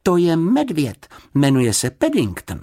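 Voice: medium pitch, wildly swooping pitch, warm tone, voice pushed up. A storybook reader voicing a cheerful, chatty young girl who sounds confident and friendly. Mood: matter-of-fact.

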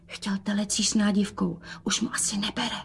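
A woman voicing a fussing mother in a story, who exclaims admiringly and confides in hushed tones. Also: mid-range voice, level tone, slightly rough. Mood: secretive, sad.

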